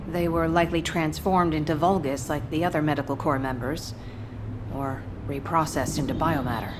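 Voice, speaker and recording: An actress playing a serious, deliberate female soldier in a video game, driven and grieving. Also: mid-range voice, close to an everyday voice. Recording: clear background noise and ambience.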